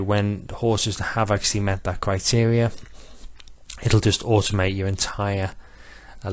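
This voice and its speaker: A man narrates a software tutorial in a low, even monotone. He talks steadily and pauses often on fillers. The sound is dry and close to the mic. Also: no room sound. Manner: self-described monotone, measured with filler pauses. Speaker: betting software demonstrator. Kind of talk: screen-recorded tutorial walkthrough.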